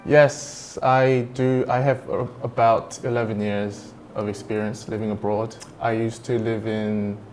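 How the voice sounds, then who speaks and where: A Korean man in his thirties, with a mixed New Zealand and British English accent, answering as an interview guest in a halting way with fillers and restarts. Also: fairly low pitch, little pitch movement, a little mellow, faint music behind. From South Korea. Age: 30s. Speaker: interview guest, business owner. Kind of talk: casual interview.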